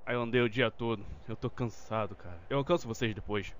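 Man as fast-talking, weary young gangster, noisy track. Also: medium pitch, close to an everyday voice. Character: weary young gangster back home, defensive younger brother. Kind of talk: amateur game fan dub.

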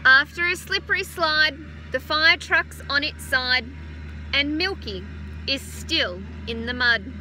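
An Australian woman in her thirties, a teacher gently reading a picture book aloud to children outdoors on a farm, adding chatty asides. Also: high-pitched, slow, a little hiss or hum, low-quality recording. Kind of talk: children's story time read-aloud. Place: outdoors on a farm beside a utility vehicle. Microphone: phone camera held close.

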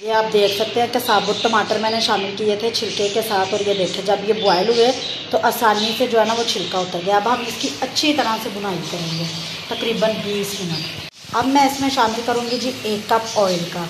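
A woman's voice: mid-range voice, moderate pace, echoey room, background noise. A woman hosting a home cooking channel, chatting politely through a recipe step by step and peppering her talk with blessings, recorded on a phone in her kitchen. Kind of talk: casual step-by-step recipe narration.

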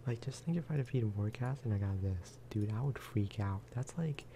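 A man giving casual, rambling ASMR game commentary, speaking softly and often slipping into whispers. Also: lowish voice, moderate pace, noisy background. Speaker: gaming video host.

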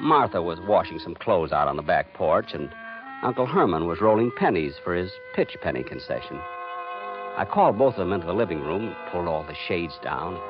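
An actor playing a flustered, stubborn married man in a 1950s radio drama, stammering when upset. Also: sits low. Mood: tense narrating. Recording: muffled 1950s radio recording with music.

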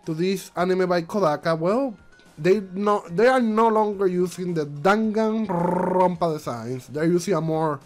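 Male vlog host, congested and stuffy, high lively voice, faint music under him, rambling non-native English. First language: not English. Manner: rambling, repeats himself, frequent restarts. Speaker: channel host. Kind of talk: casual commentary vlog.

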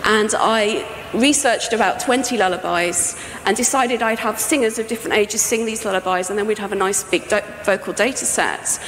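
A woman's voice: mid-range voice, moderate pace, a bit bright. A middle-aged woman giving an informal, explanatory conference talk as a sound artist. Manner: conversational, explanatory. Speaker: sound artist presenting a project. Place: indoor talk venue with projection screen, on stage. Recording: echoey hall, faint background noise.

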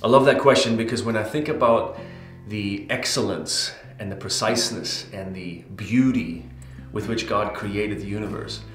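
A man's voice: fairly low pitch, moderate pace. A man in his thirties from Germany, speaking earnestly in an interview. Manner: earnest, gestures with hands while explaining. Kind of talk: reflective testimony. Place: indoor studio with dark backdrop. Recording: roomy with guitar music under. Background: soft guitar music.

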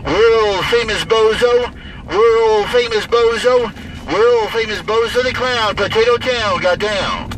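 A man with a strikingly high voice works the CB radio from his vehicle. He repeats call signs and catchphrases like a showy chant. He sounds away from the microphone, with clear vehicle noise around him. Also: slow. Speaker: CB radio operator. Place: inside a moving vehicle. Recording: noisy in-car recording, distant voice.

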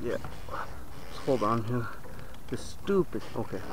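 A man vlogging from a small boat on a lake, chatting casually to viewers and getting excited as he fishes. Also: mid-range voice, a little slow, background noise. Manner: excitable, talks to viewers as "you guys". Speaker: fishing vlogger. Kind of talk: casual on-water fishing commentary.

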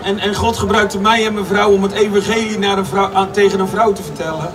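A man's voice: high voice, steady tone, fairly quick, background noise. A man interpreting a street sermon line by line, restating each phrase with occasional restarts. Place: outdoor square by a stage. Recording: distant PA voice, music behind.

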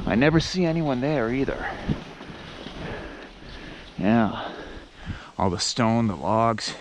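Relaxed man narrating a ski tour outdoors. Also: medium pitch, slow, noisy background. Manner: relaxed, rambling, with many fillers. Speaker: ski vlogger. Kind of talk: casual narration while skiing. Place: outdoors on a snowy mountain trail.

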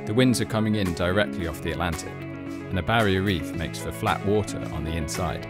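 A man in his thirties narrating his sailing vlog in a relaxed, matter-of-fact way. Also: sits low, fairly quick, loud music behind the voice. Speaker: sailing vlogger. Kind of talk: voice-over narration and casual chat on a boat.

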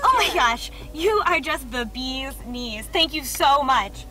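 A gushing young seventies gal acted by a woman in a comedic video game. Her voice is extremely high and animated, breezy and excitable, with music behind it. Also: slow pace, full character voice. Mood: thrilled. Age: young adult. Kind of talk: campy comedic game cutscene acting.

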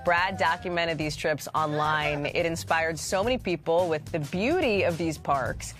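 An American woman in her thirties presenting lively, conversational TV news narration with emphatic delivery, in a studio. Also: low voice, measured pace. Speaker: news anchor. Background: music.

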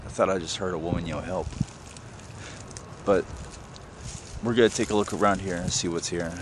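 A man in his thirties talks in a relaxed, rambling way as he explores outdoors with a camera at arm's length. His voice sits very low, and clear outdoor noise runs behind him. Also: moderate pace. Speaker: outdoor vlogger. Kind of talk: casual vlog narration. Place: outdoors in woods and fields. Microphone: handheld camera at arm's length.

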